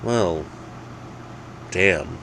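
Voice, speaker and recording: A man's voice: low-pitched, very slow, a bit bright, a little hiss or hum, exaggerated voice. A man playing a cheerful, easygoing fellow in a story, chatting casually and rambling without a care. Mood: stunned. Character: cheerful, unflappable easygoing man, demon's one-night date.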